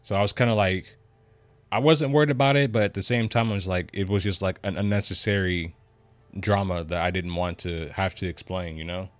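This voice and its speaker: A man's voice: steady tone, fairly quick. A young man streaming a game, chatting casually and rambling with lots of "man" and "you know". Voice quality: deep voice. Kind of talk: casual livestream chat.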